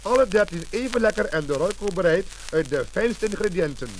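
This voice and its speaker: Casting: male radio-ad announcer with a high-pitched delivery, scripted, on a lightly noisy old record. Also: animated, brisk. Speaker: advertising announcer. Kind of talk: scripted radio commercial narration. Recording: old lacquer test disc, surface hiss.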